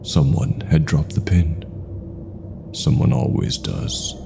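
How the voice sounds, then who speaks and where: Very deep, gravelly male horror narrator. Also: fairly even intonation, slow, over music. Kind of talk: scripted first-person horror narration.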